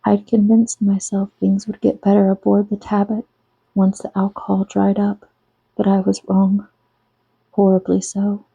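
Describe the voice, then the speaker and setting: Woman, soft-spoken ASMR reading, very flat and even delivery, gravelly vocal fry, close dry mic. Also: mid-range voice, measured pace, dry recording, dull audio. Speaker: author reading her own novel.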